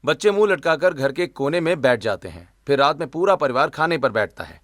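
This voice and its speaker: A man's voice: on the high side, lively intonation, fairly quick, fairly bright tone. A man reading scripted narration for a cartoon family story.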